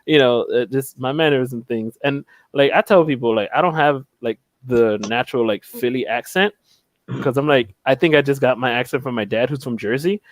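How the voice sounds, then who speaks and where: A man's voice: mid-range voice, a little fast, no room sound. A young man from Philadelphia, a panel guest in casual chat on a video call from home, rambling and losing his train of thought. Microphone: headset.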